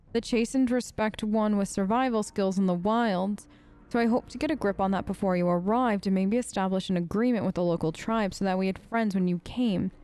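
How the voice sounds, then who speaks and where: A woman's voice: mid-range voice, darkish tone, clean tone, dry recording. A woman hosting a role-play let's play, chatty and playful, talking close to the microphone. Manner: playful, bantering. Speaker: gaming video host.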